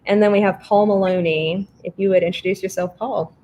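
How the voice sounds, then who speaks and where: A woman's voice: medium pitch, steady tone, brisk, warm tone, a little hiss or hum. A woman hosting an online artists' panel, introducing guests in a conversational way over a video call.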